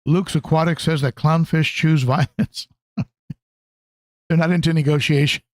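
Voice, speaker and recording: Higher-voiced man chatting on a livestream. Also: fairly even intonation, no echo, studio quality. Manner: conversational, halting, repeats phrases. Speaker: livestream host, aquarium hobbyist. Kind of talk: casual livestream chat, answering viewer comments.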